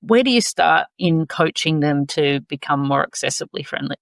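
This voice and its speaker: Friendly conversational host, gravelly voice, mid pitch. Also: lively intonation, moderate pace, a bit bright, no echo, studio quality. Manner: friendly, conversational, laughs along. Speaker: podcast host. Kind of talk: podcast interview.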